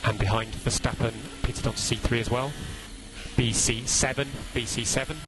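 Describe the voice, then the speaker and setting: A man's voice: medium pitch, steady tone, normal speed, very noisy. A man working as a race engineer on team radio, giving clipped, repeated instructions and updates and urging his driver on.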